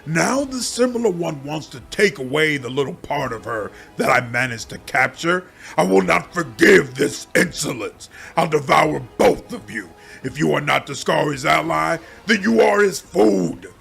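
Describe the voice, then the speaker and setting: A man voicing a wrathful, devouring male demon lord of a swarm, loud and menacing, as a game villain. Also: a little high, lively intonation, normal speed, gravelly, few pauses, voice pushed up, heavily acted. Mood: furious, threatening.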